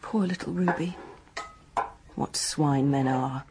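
An actress playing a young, guarded, matter-of-fact English dance hostess in a radio drama, speaking in a brisk, frank, colloquial way. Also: female voice, low-pitched, noisy background. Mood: shaken. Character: hard-headed working-class hotel dance hostess, guarded, matter-of-fact.

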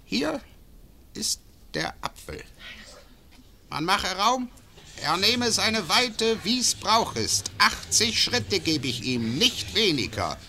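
A cruel, imperious bailiff in an old radio drama, who taunts his victims in a slow, gravelly, bright voice. His pitch sweeps widely as he toys with them. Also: big pitch swings, a little hiss or hum, full character voice. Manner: cold, mocking, imperious. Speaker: actor in radio play. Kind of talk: classic radio drama acting.

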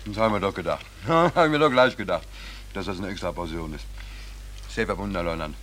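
A noisy old radio-play recording carries a low-pitched drifter. He is easygoing and wry, politely playing the innocent, with hesitant, repeated words. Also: animated, quick pace, a bit bright. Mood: hungry, content. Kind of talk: 1940s German radio play acting.

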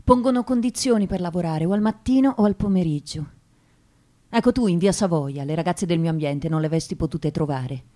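An actress plays an educated young woman from an impoverished noble family in a radio play. Her voice sits a little low, and she speaks with measured, precise disdain, placing each word deliberately. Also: warm tone, natural voice. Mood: disdainful, bitter. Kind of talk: theatrical radio drama monologue.

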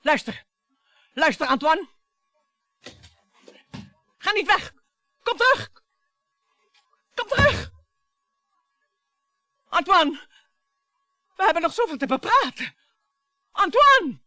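A bitter, theatrical wife in a radio drama. Her voice is bright and sharp and swings widely in pitch as she mocks and threatens. The sound is dry and close. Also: very animated, takes it slowly, pauses often, no room sound, soft background music, exaggerated voice. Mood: desperate. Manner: dramatic, mocking, volatile monologues. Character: embittered, taunting, theatrical wife on the brink of violence. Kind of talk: radio play acting.

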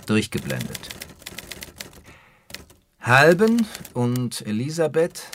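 Faint typing clicks accompany a woman with a very deep voice. She reads scene directions slowly, in a terse, neutral manner. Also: a little hiss or hum. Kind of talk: scripted reading of stage directions.

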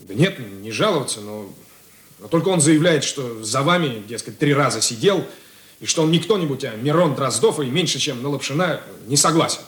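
A man's voice: mid-range voice, lively intonation, moderate pace, a bit bright, natural voice. An actor playing an earnest young rookie policeman in a radio play, hesitant and plaintive. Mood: aggrieved. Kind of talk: Russian radio drama acting. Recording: echoey room.

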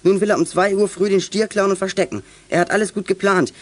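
A man's voice: high-pitched, steady tone, fast, no echo. A young man playing an eager, polite teenage boy detective in a children's radio drama, speaking earnestly. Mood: urgent, polite. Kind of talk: 1980s German children's radio drama acting.

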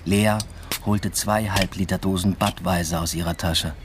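An actor playing an aimless doctoral student in a radio drama, speaking in an understated, reflective way. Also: male voice, low-pitched, steady tone, normal speed, background noise, close to an everyday voice. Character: aimless, evasive male German doctoral student narrating his New York stay. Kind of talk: literary radio drama, first-person narration and dialogue.

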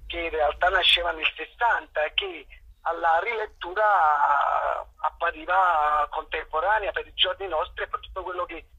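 A man from Naples, an actor, talking on the phone in a thoughtful way and often pausing to search for words. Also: on the high side, bright, forceful tone, husky. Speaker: actor guest. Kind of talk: phone interview. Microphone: telephone. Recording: muffled phone line.

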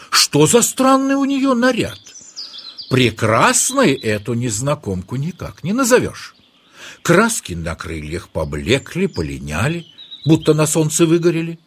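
A man's voice: a little high, a little hiss or hum. A man narrating a children's story expressively, in a theatrical, playful, wondering manner.

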